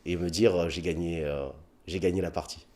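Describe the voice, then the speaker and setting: A man's voice: sits low, normal speed. A man with a southern French accent, a comedian telling anecdotes in a casual interview, acting out bits of dialogue. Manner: animated anecdotes with put-on dialogue, many fillers.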